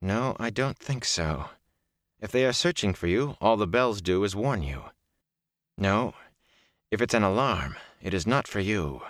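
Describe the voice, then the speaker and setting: A man voicing a fierce young village wise woman with a sharp, touchy, bossy manner in a fantasy audiobook. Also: lowish voice, expressive, unhurried, dry recording, clean studio sound. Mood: uneasy, reasoning.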